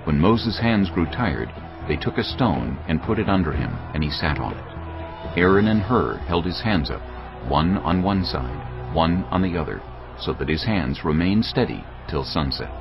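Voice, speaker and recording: A man narrating scripture in a measured, expressive reading. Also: deep voice, muffled recording, over music.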